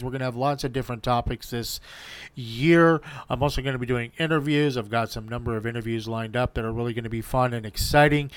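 A man's voice: medium pitch, clear, resonant tone, no room sound. A man hosting his own podcast, giving an earnest solo update and listing his points in repeated runs. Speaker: podcast host.

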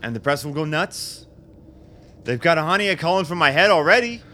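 A man's voice: a little high, expressive, brisk, bright, forceful tone. An actor in a radio drama playing a self-doubting political leader, a man who sounds hesitant and agitated. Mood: frustrated. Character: anxious, self-doubting new political chairman, a war hero. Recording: noticeable background noise.

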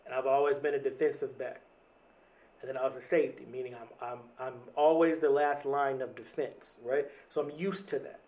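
A man's voice: medium pitch, steady tone, fairly quick, darkish tone, recorded from a distance. A man in his thirties talking in a reflective, casual podcast monologue, often repeating and restarting words, with casual slang. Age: 30s.

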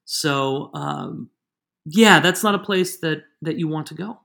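A man's voice: fairly high pitch, slow, vocal fry, pushed and bright. A man who is the guest on an interview podcast, explaining at length with many restarts. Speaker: guest expert.

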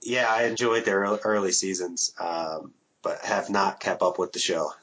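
Host: relaxed man with a low, husky voice, hesitant delivery. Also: moderate pace, lo-fi sound. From Minnesota. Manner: relaxed, hesitant, many ums. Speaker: podcast host. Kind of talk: casual interview. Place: home.

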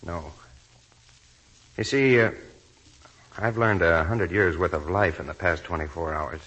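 An actor playing an earnest, thoughtful army lieutenant in 1940s radio drama, speaking sincerely and reflectively. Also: male voice, low voice, a little slow, a bit bright, slightly rough, lo-fi sound, full character voice.

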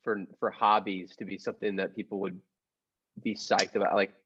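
A man's voice: on the low side, level tone, moderate pace, fairly bright tone, slightly rough. A man with a bemused, slangy manner, joking in a casual group conversation. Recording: slightly dull, lo-fi audio.

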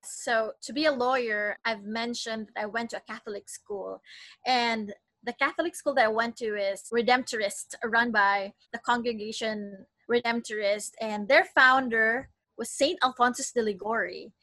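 A woman's voice: a little high, steady tone, moderate pace, sharp tone, dry recording. A young adult Filipino woman with a Filipino accent, chatting cheerfully as an interview guest, an attorney. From Philippines. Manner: chatty and cheerful, with light laughs. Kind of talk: casual interview.

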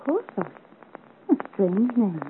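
An actress playing a gracious, gently curious young noblewoman in a 1950s radio serial, with refined, formal period diction. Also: mid-range voice, sing-song, slow. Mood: intrigued. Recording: muffled, hissy old radio serial recording.

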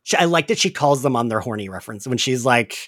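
A man's voice: medium pitch, expressive, fast talker, a bit bright, dry recording, clean studio sound. A man co-hosting a casual recap podcast, chatty and giggly, peppering his talk with "like". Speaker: podcast co-host.

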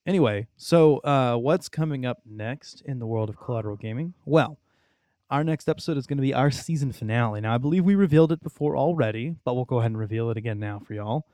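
Male, young adult. Smooth, chatty, rambling podcast co-host, recorded close and dry. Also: mid-range voice, fast talker, warm tone, dry recording. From Texas, United States. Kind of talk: casual video game discussion.

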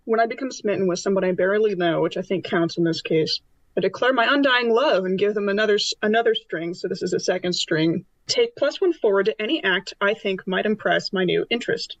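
A woman's voice: medium pitch, brisk, dry recording, muffled recording. A woman chatting casually and playfully as she improvises in a tabletop roleplaying game.

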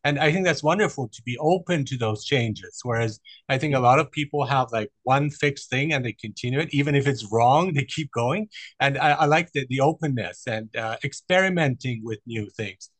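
A male podcast host who opens the show and keeps things friendly and affirming. He reflects on his guest's answers at a mid pitch. His voice comes across dry and close, with no room around it. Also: moderate pace, hardly any pauses, fairly bright tone, dry recording. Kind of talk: casual interview.

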